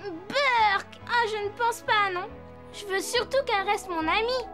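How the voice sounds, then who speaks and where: A woman's voice: very high-pitched, measured pace, over music. A woman voicing a sweet, earnest little girl in a French children's cartoon.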